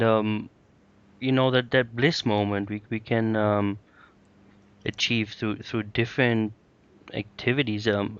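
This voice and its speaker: Slow, flat-voiced hesitant host. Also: male voice, on the low side, clean tone. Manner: hesitant, many fillers. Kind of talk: podcast interview. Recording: dull lo-fi audio.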